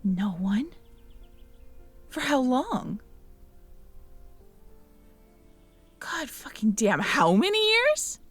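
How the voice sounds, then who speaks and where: A woman acting a caring, teasing, curious young girlfriend in an intimate roleplay audio drama, talking softly and conversationally to the listener. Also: sits high, wildly swooping pitch, slow, breathy edge, soft background music, heavily acted. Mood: astonished, excited. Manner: soft, intimate, conversational, playful.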